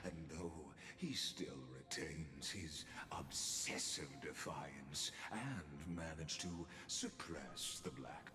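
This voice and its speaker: An actor playing a calm, scheming, erudite villain in a video game, speaking in a pompous, silky, theatrical way with murmured phrase endings. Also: male voice, very deep voice, roomy sound. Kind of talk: theatrical scripted game dialogue.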